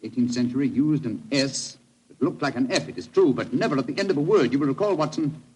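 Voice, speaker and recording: An actor playing a brilliant, superior British gentleman detective, crisp and commanding, in a 1940s style radio drama. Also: medium pitch, quick pace, lightly acted. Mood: excited deduction. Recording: noisy old radio recording.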